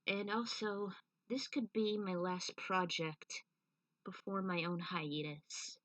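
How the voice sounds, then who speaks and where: A woman's voice: lowish voice, steady tone, slow pace, fairly bright tone, dry recording, recorded from a distance, low-quality recording. A woman giving an author's commentary on her own fan fiction story.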